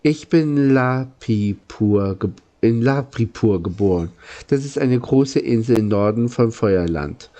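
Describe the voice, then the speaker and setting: A courteous, lonely old man acted out by a male reader speaks from a storybook. He is slow and mellow, earnest and gentle, halting as he explains himself. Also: mid-range voice, expressive. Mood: sorrowful, grateful. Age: older.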